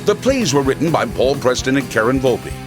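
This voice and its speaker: A man's voice: mid-range voice, sing-song, fairly quick. A man reading scripted podcast credits as a showy, tongue-in-cheek announcer. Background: music.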